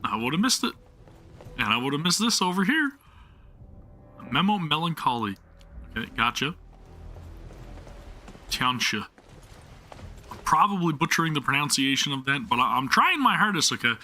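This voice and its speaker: A man's voice: on the high side, big pitch swings, normal speed, pauses often, sharp tone. A young man chatting through a live game stream, self-deprecating and often restarting his sentences. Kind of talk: live gameplay commentary.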